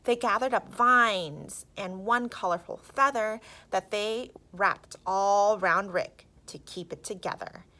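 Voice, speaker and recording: A woman narrating a children's storybook in an expressive, theatrical and emphatic way. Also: mid-range voice, slow pace, hardly any pauses, rough recording.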